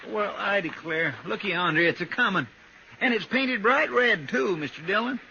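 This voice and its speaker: Folksy, higher-pitched western deputy sidekick. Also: male voice, animated, moderate pace, creaky. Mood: folksy, curious. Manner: homespun, complaining mildly, respectful. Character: folksy, deferential, simple-hearted male deputy sidekick of a marshal. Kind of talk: 1950s western radio drama acting. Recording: muffled, noisy old radio recording.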